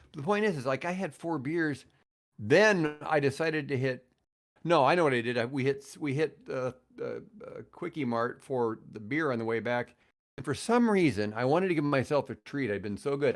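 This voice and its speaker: A man's voice: mid-range voice, animated, moderate pace. An older American man chatting casually on a podcast as a guest, telling anecdotes in a rambling, conversational way. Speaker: podcast guest, retired radio host.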